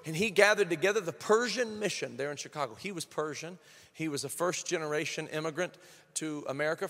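A male pastor preaching a sermon, moving between quiet storytelling and shouted, fervent praise. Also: fairly high pitch, long reverb tail.

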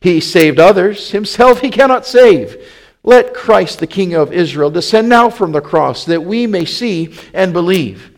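A man's voice: high-pitched, animated, a little slow, voice pushed up. A man voicing scornful, hostile mockers from a crowd, crying out in archaic English in a dramatic scripture reading. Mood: mocking.

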